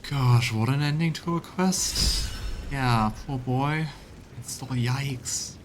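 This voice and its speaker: A man's voice: mid-range voice, slow pace, a little hiss or hum. A man giving casual, reactive commentary as he plays through a fantasy video game on stream. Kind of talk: voiced let's play commentary, casual reactions mixed with reading dialogue.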